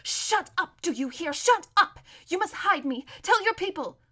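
A woman's voice: sits high, animated, moderate pace, voice pushed up. A woman reading a storybook, playing a proud, serious runaway noble girl with an urgent, imperious manner. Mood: furious, urgent. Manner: forceful hissing, imperious, earnest.

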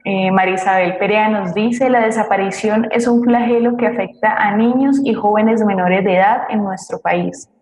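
A woman's voice: medium pitch, steady tone, moderate pace, hardly any pauses, darkish tone. A Colombian woman co-hosting a live programme, presenting and reading out audience messages. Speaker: co-host reading audience comments. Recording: very echoey room, faint noise.

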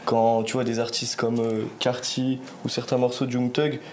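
A man's voice: medium pitch, level tone, normal speed, a little mellow, a little hiss or hum. A young man hosting a podcast, leading the chat casually with questions and slangy fillers. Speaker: podcast host. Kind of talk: casual interview.

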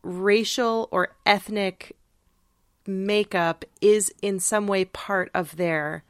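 A woman's voice: medium pitch, steady tone, slow pace, clean tone, dry recording. Woman co-hosting, dry close sound. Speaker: podcast co-host. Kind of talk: casual conversational discussion.

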